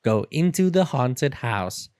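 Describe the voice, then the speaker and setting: A storyteller reading a children's book aloud in an expressive, dramatic and deliberate way. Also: mid-range voice, smooth, no echo, studio quality. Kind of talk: expressive read-aloud narration.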